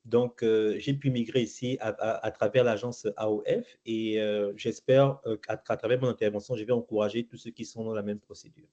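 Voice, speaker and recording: A man's voice: lowish voice, steady tone, moderate pace, few pauses, mellow. Hesitant man from Gabon, muffled. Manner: hesitant, many fillers and restarts. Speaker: interview guest. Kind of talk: casual interview answers. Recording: muffled lo-fi recording.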